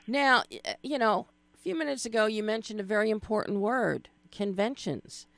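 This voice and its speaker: A woman's voice: mid-range voice, normal speed, vocal fry, fairly bright tone, no room sound. A middle-aged woman hosting a radio interview, playful and chatty, with filler words and side remarks. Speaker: radio host.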